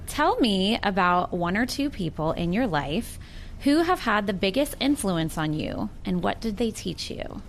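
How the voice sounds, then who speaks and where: A woman's voice: medium pitch, very animated, measured pace, a little hiss or hum. An American woman in her forties talking earnestly and reflectively in a sincere personal conversation. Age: 40s. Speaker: participant in a recorded one-on-one conversation.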